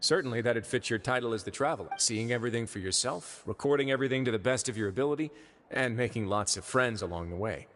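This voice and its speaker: An actor playing a calm, shrewd young duke who runs a prison, smooth, measured and politely teasing. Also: male voice, mid-range voice, close to an everyday voice. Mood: courteous. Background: game background music.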